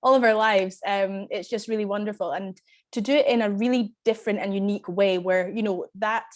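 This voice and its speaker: A woman with a cold, sounding croaky as a guest speaking earnestly on a video call. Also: mid-range voice, steady tone, brisk. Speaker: guest from a graduate events group. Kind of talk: casual remarks on a video call.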